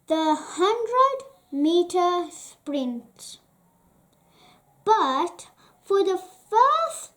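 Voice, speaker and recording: A woman reading a children's story aloud in a deliberate, carefully phrased way with frequent pauses. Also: high-pitched. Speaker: children's storybook reader, channel host.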